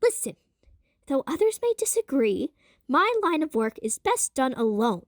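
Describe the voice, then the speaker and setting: A woman's voice: sits high, big pitch swings, normal speed, dry recording, studio quality. A woman voicing a proper, wordy man of science from 1900 in an audio drama. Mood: guarded, earnest. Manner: formal, wordy, hesitant.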